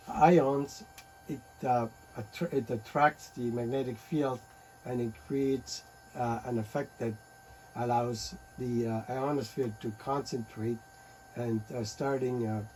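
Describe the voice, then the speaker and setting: A man presenting a technical talk on radio and earthquakes, pausing often with fillers and restarts. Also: mid-range voice, warm tone, slight background noise, rough recording. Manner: halting, many fillers and restarts.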